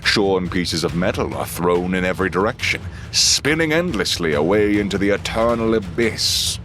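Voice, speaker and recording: A man performing measured, solemn dramatic narration of a space battle for a sci-fi audio drama. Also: low voice, lively intonation, fairly bright tone, a little hiss or hum. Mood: grave, measured.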